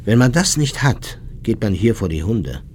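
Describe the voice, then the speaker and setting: An actor playing an ageing, unrepentant former SS officer in a radio documentary, husky, blunt and self-justifying as he recalls the war. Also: on the low side, big pitch swings, moderate pace, a little mellow, slight background noise. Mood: nostalgic, earnest. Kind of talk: dramatised memoir monologue in a radio documentary.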